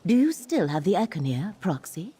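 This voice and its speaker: An actress playing a grave, scholarly guide in a fantasy video game, speaking in a measured, formal, solemn manner. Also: female voice, fairly low pitch, sing-song, warm tone, husky, full character voice. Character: grave, scholarly woman guide to ancient ruins.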